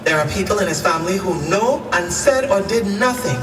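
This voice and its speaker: A woman's voice: mid-range voice, lively intonation, a little fast, close to an everyday voice. A woman playing a solemn, compassionate narrator in a radio drama. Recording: echoey, distant, music behind.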